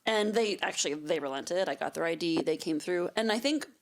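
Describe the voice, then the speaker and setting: A woman's voice: on the low side, expressive, fairly quick. A woman, a real estate agent, firmly recounting her own experiences in casual podcast chat.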